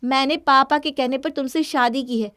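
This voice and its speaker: Woman playing a scornful, high-voiced young wife. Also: steady tone, moderate pace, fairly bright tone. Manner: haughty, dismissive.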